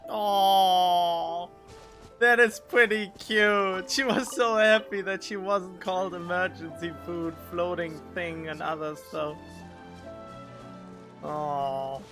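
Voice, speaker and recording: A woman's voice: on the low side, steady tone, slow pace. A woman doing casual gameplay commentary as a streamer, reacting to game dialogue. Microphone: studio condenser microphone. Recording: game music behind the voice.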